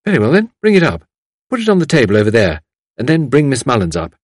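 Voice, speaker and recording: A man voicing a brisk, kindly, commanding mistress of the house, reassuring in manner, as an audiobook character. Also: mid-range voice, wildly swooping pitch, husky, no echo, studio quality, voice pushed up.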